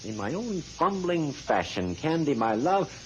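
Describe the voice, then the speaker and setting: Flamboyant swooping dandy, old radio. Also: male voice, on the high side, big pitch swings, a little slow. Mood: grandly scheming. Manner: theatrical, pompous, florid diction. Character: flamboyant, pompous, florid male sidekick photographer. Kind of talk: 1940s radio acting. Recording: dull, hissy 1940s radio recording.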